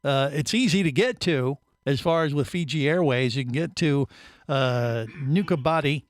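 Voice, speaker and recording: A man's voice: fairly high pitch, moderate pace, no room sound. A man hosting a casual radio interview in a studio, rambling with many fillers and restarts. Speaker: radio show host.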